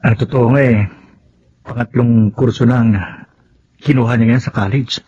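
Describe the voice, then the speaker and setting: A man's voice: mid-range voice, fairly even intonation, normal speed, a little mellow, slightly rough, lightly acted. Actor as a muffled, easygoing young Filipino man. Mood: friendly. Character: polite, helpful young male cousin, easily exasperated. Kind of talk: Filipino radio drama acting. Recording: muffled, noisy lo-fi sound.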